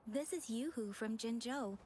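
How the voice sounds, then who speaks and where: An actress voicing a gentle, courteous young woman in a video game, speaking politely and softly. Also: a little high, a little slow, lightly acted. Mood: welcoming.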